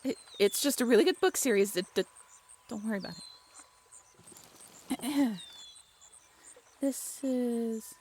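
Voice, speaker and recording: A woman acting a bashful, affectionate young writer on a date in an intimate audio roleplay, halting and playfully teasing. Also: a little high, darkish tone, a little hiss or hum. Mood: delighted, grateful.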